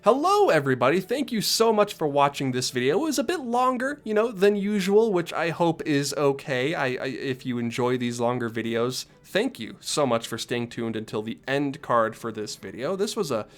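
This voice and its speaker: Stuffed up guy with a cold, nasal, rambling vlog essay, jokey tangents, quiet music under it. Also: mid-range voice, expressive, normal speed, hardly any pauses. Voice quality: nasal, congested. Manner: rambling, joking asides, warm thanks. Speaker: video essay creator. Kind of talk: casual video essay.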